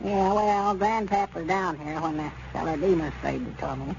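High-voiced folksy old storekeeper. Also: male voice, flat delivery, moderate pace, gravelly, a little mellow. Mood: wary. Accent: rural Southern US. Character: folksy, stubborn, wary old country storekeeper. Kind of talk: 1930s radio comedy acting. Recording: dull, noisy old radio recording.

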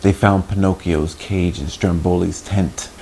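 Male storybook reader for kids: low, gravelly, very expressive, chatty asides, some background noise. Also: measured pace. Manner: slips in asides and questions to young listeners. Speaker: children's story reader, host. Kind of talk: expressive picture-book read-aloud for children. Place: small indoor room.

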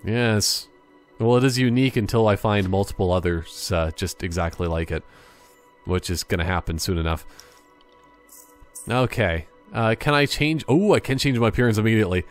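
A man with a fairly low voice commentates casually over a video game. He rambles in a playful, self-mocking way, with game music underneath. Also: moderate pace. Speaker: gameplay commentator. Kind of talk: casual gameplay commentary, reading on-screen text aloud.

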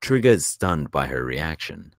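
Very deep-voiced man narrating a script. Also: big pitch swings, normal speed, creaky, no echo, clean studio sound. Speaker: narrator reading stage directions. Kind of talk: scripted narration at a screenplay table read.

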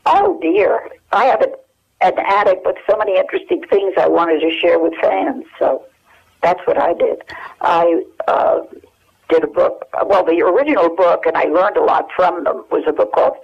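An American woman in her eighties reminiscing casually and haltingly as an interview guest over the telephone. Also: on the low side, sing-song, vocal fry, slightly rough. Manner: halting, searching for memories. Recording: dull, lo-fi telephone hook-up.

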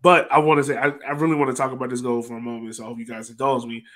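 A man's voice: mid-range voice, little pitch movement, rapid-fire, pushed and bright. A man hosting a casual sports chat over a video call, conversational and now and then searching for words. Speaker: podcast host. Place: indoor room, remote video call. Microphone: video call microphone.

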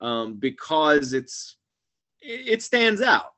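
A man in his forties, a guest actor and comedian, chatting casually over a webcam call from a small room at home, rambling and trailing off quietly at the ends of phrases. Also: on the high side, big pitch swings, slow pace. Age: 40s. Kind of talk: casual video-call chat. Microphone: webcam video call.

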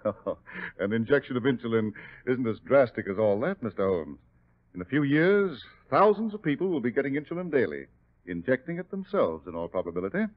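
A man performs a slippery doctor villain in an old radio mystery. He speaks in blustering, animated swings of pitch, through a muffled vintage recording. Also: a little fast, natural voice. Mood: smooth, reassuring. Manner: blustering, hesitant, protesting. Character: smooth, evasive male doctor, villain under suspicion. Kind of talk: 1940s radio acting.

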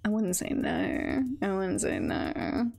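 A woman's voice: medium pitch, huge pitch swings, slow pace, creaky, a little mellow, husky, faint music behind. A woman streamer chatting casually and playfully as she plays a video game, in a teasing manner.

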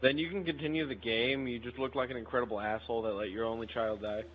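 Fast-talking man chatting while gaming. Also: mid-range voice, fairly even intonation, a bit bright, rough recording. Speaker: co-op gaming partner. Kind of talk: casual chat while gaming.

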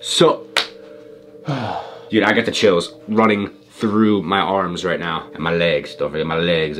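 A man in his twenties talking casually and excitably in slang to a camera in a bedroom. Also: medium pitch, slight background noise. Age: 20s. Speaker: vlogger. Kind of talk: casual reaction vlog. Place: small bedroom with desk.

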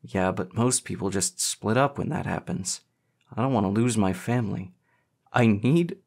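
A man's voice: on the low side, moderate pace, gravelly, breathy edge. Guy doing a troubled sensitive teen boy in an audiobook, sweet and anxious. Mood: upset. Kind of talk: audiobook character dialogue.

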